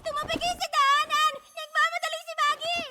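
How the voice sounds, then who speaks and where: Very high brash pizza rider girl. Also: little pitch movement, slight background noise, exaggerated voice. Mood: bossy, hurried. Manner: boisterous, hurried shouting. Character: brash young female speed-obsessed pizza delivery rider. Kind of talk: game character voice lines. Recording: game audio under loud music.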